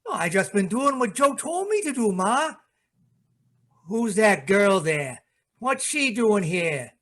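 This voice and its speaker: Casting: man playing unhinged young radio-drama hoodlum, fanatically loyal, agitated and whining, very changeable pitch. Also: very animated, takes it slowly, a bit bright, exaggerated voice. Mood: anxious. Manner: agitated, muttering, slangy dropped-g speech. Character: deranged, fanatically loyal young gangster killer, whining and desperate. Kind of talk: old-time radio drama acting.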